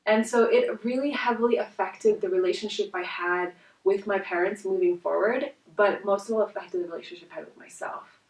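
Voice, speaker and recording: A woman in her twenties from India, a native Hindi speaker, telling her life story as a life coach in an earnest, reflective way with soft pauses. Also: mid-range voice. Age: 20s. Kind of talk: personal storytelling vlog. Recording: roomy echo, voice from a distance.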